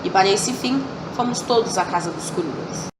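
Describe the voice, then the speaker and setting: A woman playing a sharp, commanding male detective in an amateur school radio drama, laying out his deductions methodically. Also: mid-range voice, expressive, a little fast, close to an everyday voice. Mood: explaining. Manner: lecturing, methodical explanation. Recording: distant mic with loud noise.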